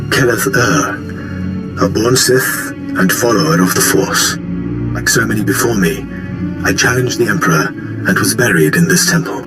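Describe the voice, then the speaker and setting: An actor playing the serene hologram of an ancient Sith dissident in a video game, solemn, measured and preaching. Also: male voice, on the low side, expressive, over loud music. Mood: calm, wry. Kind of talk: dramatic game cutscene acting.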